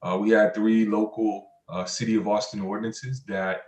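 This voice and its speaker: A man speaking as an expert panelist, explaining advocacy work in halting, measured phrases. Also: lowish voice, level tone, darkish tone. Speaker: criminal justice program director, panelist. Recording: roomy, echoey sound.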